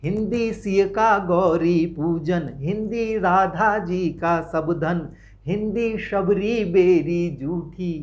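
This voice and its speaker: A man, a poet, reciting his own verses in a slow chant, with short, quick, quiet spoken asides between verses. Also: sits high, muffled recording.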